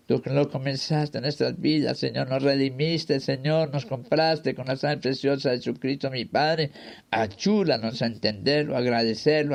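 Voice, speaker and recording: A man's voice: mid-range voice, gravelly, darkish tone. A man leading a fervent spoken prayer, pleading in short repeated invocations. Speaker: prayer leader.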